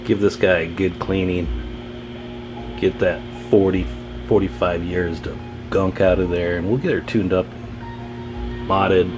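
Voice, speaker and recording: A man's voice: sits low, fairly even intonation, measured pace, long pauses, over music, poor audio. A man talking informally and thinking aloud as he gives a casual hands-on demonstration as a radio repair hobbyist.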